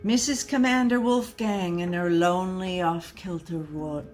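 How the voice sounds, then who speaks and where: A woman reading a comic story aloud in a dramatic, mock-serious, deliberate storyteller's manner. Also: lowish voice, steady tone, darkish tone, faint music behind.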